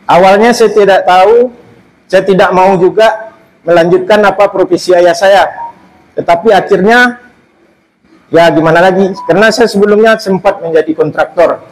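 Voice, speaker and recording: A man's voice: sits high, low-quality recording. A man from West Sumatra giving a seminar talk to a live audience as guest speaker, in a loose, rambling way with many restarts. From Solok, West Sumatra, Indonesia.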